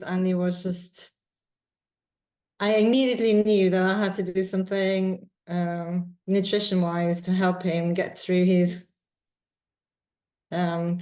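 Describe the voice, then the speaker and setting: A woman from Austria with an Austrian accent, speaking as a guest nutritionist in a calm, measured and reflective way. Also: lowish voice. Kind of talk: interview answers.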